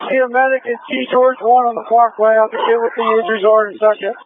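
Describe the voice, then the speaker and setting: Man, police officer, rapid short reports, phone-like scanner radio. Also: very high-pitched, level tone, a little hiss or hum.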